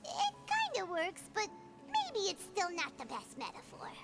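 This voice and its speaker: A woman voicing a small, earnest, chatty childlike companion in a video game, with a squeaky voice. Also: wildly swooping pitch, a little slow, exaggerated voice. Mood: musing. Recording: game audio with music underneath.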